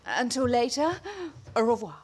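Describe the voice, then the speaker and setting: Theatrical drama teacher, affected, languid, high voice, 1930s radio play. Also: female voice, very animated, moderate pace, a little mellow.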